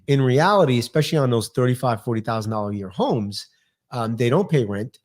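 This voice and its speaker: A man in his fifties with a New York accent, talking fast and with lots of energy as a guest on a podcast. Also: mid-range voice, animated, darkish tone. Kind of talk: casual interview chat.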